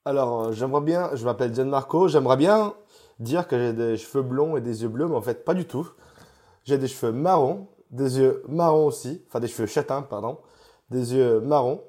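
A man's voice: medium pitch, normal speed, dark tone. Rambling young man, from Italy. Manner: rambling, self-deprecating, with restarts. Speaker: chef, interview guest. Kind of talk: casual interview answers. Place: home, remote call.

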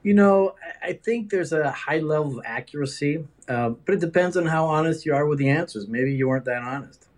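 A man from the Netherlands answering interview questions as a doctor, conversational, with hesitant, halting phrasing. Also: on the high side. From Netherlands, raised in several countries. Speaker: interview guest, regenerative medicine doctor.

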